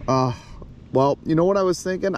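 Laid-back kayak angler, whooping. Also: male voice, a little high, fairly quick, darkish tone, a little hiss or hum. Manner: laid-back, swears, bursts into whoops when a fish bites. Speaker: fishing vlogger. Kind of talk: casual outdoor vlog chat. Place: outdoors in a kayak on a river. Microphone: action camera on the kayak.

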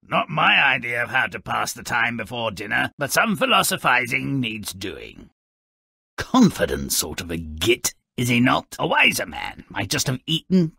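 A man voicing a gruff, mischievous gnomish storybook narrator for a video game, with a raspy, gravelly voice and a sardonic, pompous manner. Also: medium pitch, sharp tone, dry recording, clean studio sound, full character voice. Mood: mock pompous. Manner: sardonic, pompous, alliterative, relishing each word.

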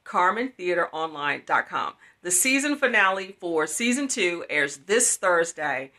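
A woman's voice: on the low side, animated, unhurried, pushed and bright. A middle-aged woman hosting a casual promotional video chat, enthusiastic and emphatic, repeating phrases, recorded on a phone at arm's length in a small room. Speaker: host, writer and producer of an audio drama series.

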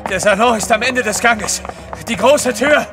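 An actor playing a resolute young-spirited imperial courier in an audio drama, earnest and dutiful. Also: male voice, very high voice, a little slow, music in the background. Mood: urgent. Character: resolute heroic male courier of the Tsar. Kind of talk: German audio drama acting.

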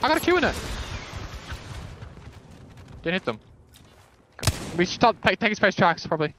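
A man's voice: sits high, sing-song, moderate pace, noisy background. A man playing in an esports team, making urgent, repeated callouts and swearing in the heat of a match. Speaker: esports player calling plays. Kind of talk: excited in-game team callouts.

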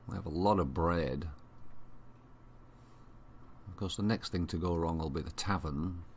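A man with a Yorkshire accent giving casual, unhurried gaming commentary, thinking aloud to himself. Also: deep voice, darkish tone, a little hiss or hum. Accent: Yorkshire English. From Yorkshire, England. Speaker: gamer commentating own play. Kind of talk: casual let's play commentary. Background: strategy game ambience.

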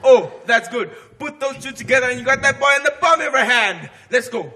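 A man's voice: extremely high pitch, lively intonation, fairly quick, noisy background. A young man playing a playful male crab with a put-on Jamaican accent, in a live school musical. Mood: coaxing. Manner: sing-song, playful coaching. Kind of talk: stage musical performance. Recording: live stage with loud music.

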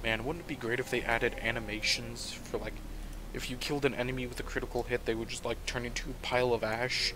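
A man casually commenting on his own gameplay at home, talking quietly and rambling, with the game's sound underneath. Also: medium pitch, steady tone, fast, background noise. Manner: soft-spoken, rambling. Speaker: gaming channel host.

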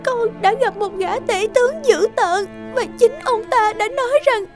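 A gentle young princess in a cartoon fairy tale. Her voice is extremely high, earnest and a little hesitant, with music playing behind it. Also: measured pace. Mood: tearful.

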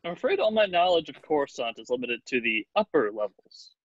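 A man's voice: on the high side, very animated, brisk, dry recording. A man playing a prim, prissy protocol droid with an indignant manner, on a dull call microphone. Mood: fussy, indignant.

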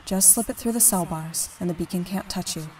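A woman playing a stern, solemn merfolk ruler in a comic dub, speaking in a measured, speechmaking way. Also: on the low side, a little mellow, far from the mic, slight background noise, lightly acted. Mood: grave, urging.

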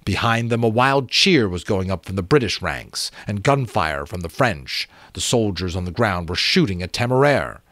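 Low-voiced man reading dramatically. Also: normal speed, few pauses, dry recording, clean studio sound. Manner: expressive, emphatic storytelling. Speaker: audiobook reader and channel host. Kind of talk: dramatic reading of an adventure novel. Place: small room at home with bookshelves. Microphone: close desk microphone.

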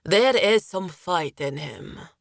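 Storybook military officer, mature man, played by a woman; lilting accent, measured command, amused and lenient, close dry sound. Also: low voice, big pitch swings, vocal fry, no room sound, full character voice. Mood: calm authority.

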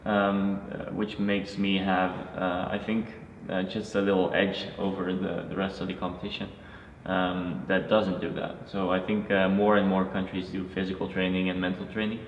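Young man with a very low, flat voice. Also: moderate pace, a little hiss or hum. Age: young adult. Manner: hesitant, many "uh"s. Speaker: athlete guest. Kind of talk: interview answers. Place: indoor archery range. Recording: distant, echoey, dull.

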